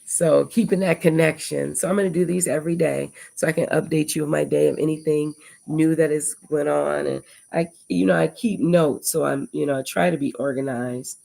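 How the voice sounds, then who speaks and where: A woman's voice: low-pitched, fairly even intonation, normal speed, gravelly, dark tone. An older American woman hosting a casual livestream at home, rambling and reflective as she talks through long lists of thoughts. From United States. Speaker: livestream host. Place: indoors at home before dawn.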